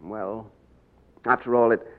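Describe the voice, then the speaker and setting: An actor playing an easygoing young English gentleman drawn into posing as a king, light and self-mocking, in 1940s radio acting. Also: lowish voice, steady tone, unhurried, natural voice. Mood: amused. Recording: tinny old radio broadcast with faint hiss.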